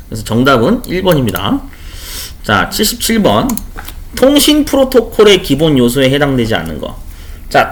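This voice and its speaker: A man's voice: mid-range voice, slight background noise. A man teaching exam questions in an informal lecture style, chatty, repeating key terms and explaining with everyday examples. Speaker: online instructor for a certification exam.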